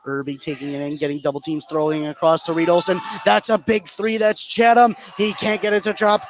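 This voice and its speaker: A man doing live play-by-play basketball commentary on radio, getting excited on big plays. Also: a little high, a little fast. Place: indoor school basketball gym. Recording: noisy gym ambience behind.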